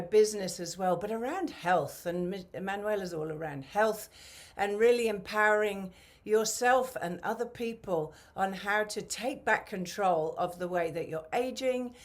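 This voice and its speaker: Excitable woman podcast host. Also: medium pitch. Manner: rambling, filler-heavy, excitable. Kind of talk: podcast interview.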